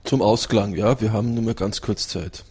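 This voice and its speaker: A man's voice: on the low side, fairly even intonation, normal speed, darkish tone, slightly rough. A man hosting a live community radio show, asking guests questions in a friendly way with many fillers. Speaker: radio host.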